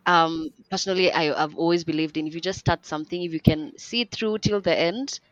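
A woman's voice: low-pitched, fairly quick, no echo. A young woman in her twenties, a podcast guest talking in a chatty, rambling way with lots of fillers and restarts. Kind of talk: casual podcast interview. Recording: dull lo-fi dry recording.

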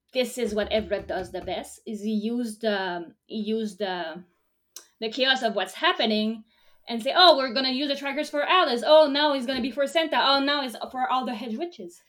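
A woman's voice: fairly high pitch, normal speed, a bit bright. A woman co-hosting a casual chat, recapping a show, talking with many fillers and restarts and laughing often. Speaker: podcast co-host.

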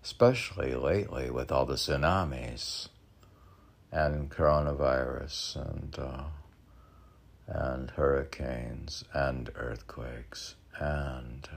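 A man's voice: a bass voice, steady tone, takes it slowly, creaky, slightly rough. A man reading his own poetry, drifting into musing, meandering asides as if talking to himself. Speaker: poet reading own work.

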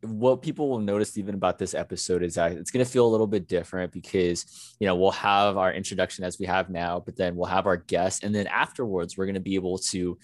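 A man's voice: sits low, fairly even intonation, fast talker, no echo, studio quality. A man from the United States co-hosting a casual podcast, talking in an earnest, reflective way with many "you know" fillers. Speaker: podcast co-host.

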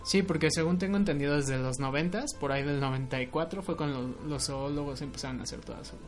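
A young Mexican man with a fairly high voice reacts with frequent swearing on a casual podcast. Faint music plays underneath. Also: fast. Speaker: podcast co-host. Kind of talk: casual chat.

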